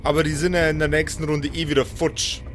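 Higher-voiced man playing a tabletop game. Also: little pitch movement, fairly quick, fairly bright tone, slight background noise. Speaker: player in a role-playing game podcast. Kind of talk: casual table talk.

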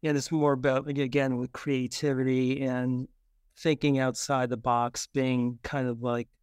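Even-toned, smooth middle-aged man. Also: medium pitch, a little slow, dry recording, clean studio sound. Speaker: guest, children's book author. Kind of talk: casual interview talk.

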